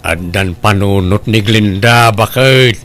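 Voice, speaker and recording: A man's voice: on the low side, takes it slowly, fairly bright tone. A man acting a patient, conciliatory middle-aged husband and father in an Ilocano comedy drama, speaking with an Ilocano accent in a reasoning, placating way. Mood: exasperated, reasoning. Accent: Ilocano, northern Philippines.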